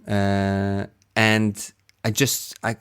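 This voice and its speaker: Guy sound designer chatting, lowish clean voice, takes his time, kinda halting. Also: little pitch movement, fairly bright tone, smooth. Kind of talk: behind-the-scenes casual chat.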